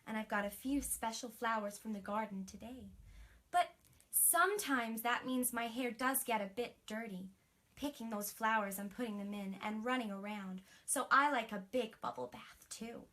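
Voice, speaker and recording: A woman telling a bedtime story to young children as a princess, expressive, with breathy emphasis and chatty asides. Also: fairly high pitch, normal speed.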